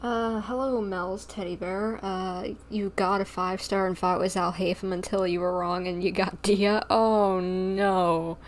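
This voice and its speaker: A woman livestreamer giving casual, informal commentary on a game with drawn-out reactions. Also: medium pitch, fairly even intonation, a little hiss or hum.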